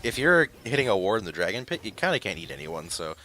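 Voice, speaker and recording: A man's voice: fairly low pitch, very animated, quick pace, pushed and bright. A man giving conversational analysis as colour commentator on a live video game match.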